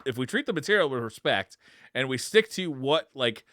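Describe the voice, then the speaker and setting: A man's voice: mid-range voice, big pitch swings, normal speed, fairly bright tone, dry recording, studio quality. A man co-hosting a podcast in casual, conversational chat. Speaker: podcast co-host. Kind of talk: casual chat about games and movies.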